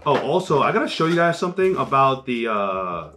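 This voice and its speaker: Young guy ripping packs and chatting, excited shouts at good pulls, bouncy pitch. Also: brisk, soft background music. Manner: lively, calls out card names, excited outbursts. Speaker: co-host opening card packs. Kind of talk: casual chat while opening packs.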